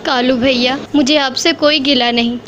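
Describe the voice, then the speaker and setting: A poor mother sparrow in a cartoon, pleading and close to tears. Her voice sits very high, and a faint hiss runs underneath. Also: fairly even intonation, normal speed, a bit bright, muffled recording. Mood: tearful. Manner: pleading, tearful. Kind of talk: cartoon voice acting.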